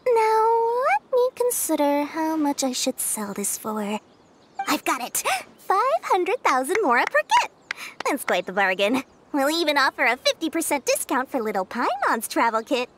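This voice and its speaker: A woman's voice: high voice, wildly swooping pitch, moderate pace, noisy background. A woman voicing a small, shrewd, money-loving female merchant in a video game, with wheedling, theatrical sales patter. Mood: salesy, gleeful. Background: music.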